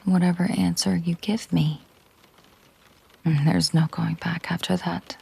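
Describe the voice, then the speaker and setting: A woman playing a possessive, menacing mafia boss girlfriend in an intimate audio roleplay, speaking in a controlled, hushed, deliberate way with a breathy, husky voice. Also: lowish voice, steady tone, exaggerated voice. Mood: cold, resolute. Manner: slow, deliberate, hushed, often breathy near-whisper.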